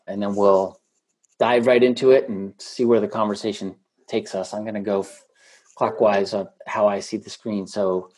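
A man hosting a panel discussion over a video call, guiding guests with brief, relaxed prompts. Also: lowish voice, poor audio.

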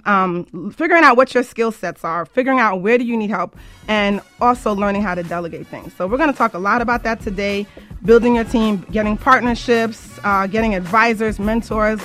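A woman's voice: mid-range voice, fairly bright tone. A woman hosting an informal internet radio show, chatting to listeners in an upbeat, emphatic way.

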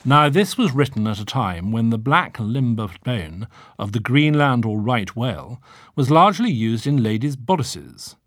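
Animated man narrating a literary audiobook. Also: medium pitch, normal speed, no room sound, studio quality.

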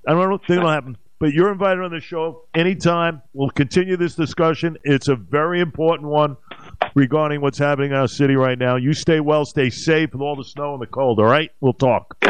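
A man's voice: fairly high pitch, steady tone, fairly quick, rough recording. A man hosting a morning radio talk show, blunt and conversational with his guest.

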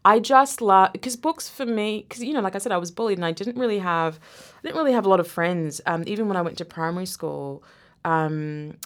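A woman's voice: mid-range voice, a little fast. A woman who grew up in Zambia, talking reflectively as a guest in a casual interview, often restarting her sentences. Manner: reflective, many restarts and laughs.

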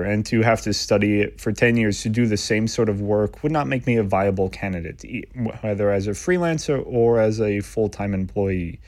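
A man speaking as a podcast guest in a relaxed, thoughtful interview conversation. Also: on the low side, dry recording, studio quality. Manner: thoughtful, measured, occasional laughs.

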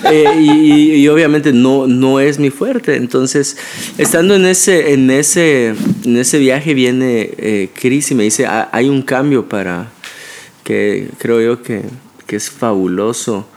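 A young man, a native Spanish speaker, chatting casually as a podcast guest and telling a story with lots of restarts. He talks in an animated way. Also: medium pitch, takes it slowly. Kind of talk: casual chat.

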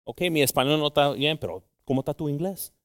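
A man's voice: fairly high pitch, quick pace, fairly bright tone, no echo, clean studio sound. A Mexican American man preaching a sermon to his congregation, moving between English and Spanish and joking along the way. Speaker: pastor. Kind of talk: bilingual sermon.

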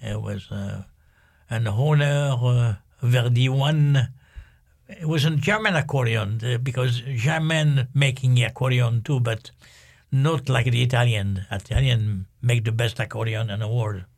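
Older interview guest from Algeria, gravelly, halting, pausing often. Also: male voice, medium pitch, a little mellow. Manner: halting, with many pauses and fillers. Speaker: guest musician. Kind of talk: casual interview.

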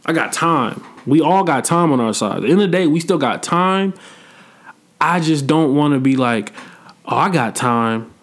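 A man's voice: on the high side, animated, moderate pace, a bit bright. Blunt, slangy young man talking shop. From Midwest United States. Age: 20s. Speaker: podcast host. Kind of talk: casual podcast chat.

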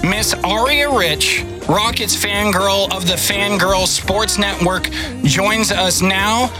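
A man's voice: high-pitched, takes it slowly, music in the background, poor audio. A man hosting a show and interviewing a guest, with upbeat, announcer-like introductions. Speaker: radio show host.

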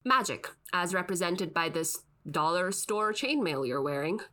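A woman plays a skeptical female podcast host with a bright, crisp-edged tone and lively, varied pitch. She is the wry, exasperated straight woman in scripted comedy banter. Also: a little slow.

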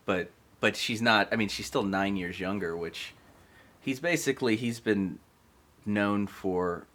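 Very deep-voiced chatty man. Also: a little slow, a bit bright. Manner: chatty, explains details at length. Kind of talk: casual group chat.

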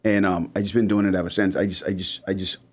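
A man's voice: deep voice, little pitch movement, fast. Talkative man from the Bahamas. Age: 40s. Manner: talkative, repeats words as he starts. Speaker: entrepreneur guest. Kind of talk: casual interview chat.

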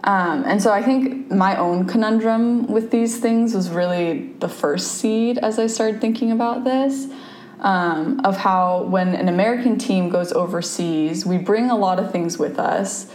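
A woman's voice: medium pitch, measured pace, darkish tone. A young adult woman from Japan, speaking as a ministry guest in a conversational interview, thoughtful and full of fillers and restarts. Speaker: campus ministry staff, guest. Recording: roomy echo, faint background noise.

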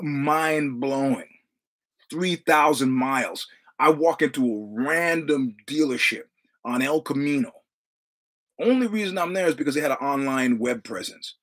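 A husky-voiced man in his sixties with a New York accent, spinning rambling stories in casual conversation. He swears freely and acts out the other people's dialogue as he goes. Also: medium pitch. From New York City. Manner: profane, rambling anecdotes with quoted dialogue. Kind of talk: casual storytelling.

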